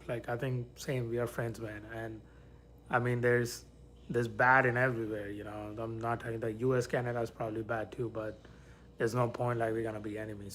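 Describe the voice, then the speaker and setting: A man from India casually hosting a livestream, chatting loosely with viewers, sometimes dropping to soft whispers. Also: mid-range voice, slight background noise. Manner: rambling, shifts to soft whispers near sleeping baby. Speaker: livestream host.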